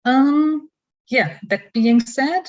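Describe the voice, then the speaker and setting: A woman moderating an online panel, speaking haltingly with many fillers. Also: sits high, a little mellow, lo-fi sound.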